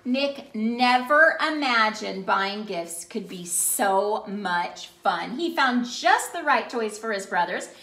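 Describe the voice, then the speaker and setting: A woman in her thirties reading a storybook aloud to children in an animated, expressive way with emphatic phrasing. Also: mid-range voice, takes it slowly, few pauses. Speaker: children's ministry read-aloud host. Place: small indoor room by a Christmas tree. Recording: roomy indoor echo.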